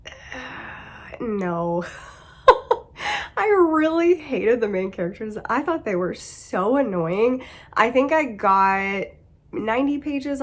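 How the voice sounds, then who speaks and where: A woman in her thirties with a sick, congested voice, chatting about her monthly reading at home, laughing and breaking off mid-sentence. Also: a little high. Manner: chatty, laughing, self-interrupting. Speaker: book vlogger. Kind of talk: casual reading wrap-up chat.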